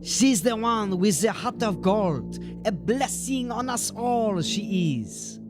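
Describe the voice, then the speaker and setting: A man's voice: sits high, lively intonation, takes it slowly, over music. A man playing a plain-spoken, deferential gatekeeper of a medieval town in an audio drama, musing in folksy turns of phrase. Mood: admiring.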